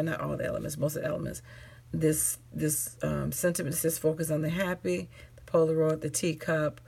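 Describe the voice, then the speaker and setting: A woman hosting a crafting video, chatting casually with a raspy, tired late-night voice and rambling, self-correcting asides. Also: deep voice, a little mellow. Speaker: crafting channel host. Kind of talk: casual show-and-tell crafting chat.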